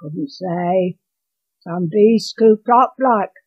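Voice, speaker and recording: A woman's voice: fairly low pitch, very slow, husky, lo-fi sound, heavily acted. An elderly woman voicing a laconic, evasive country gardener with dialect phrasing in a read-aloud story. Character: slow rustic old male occasional gardener, evasive, laconic.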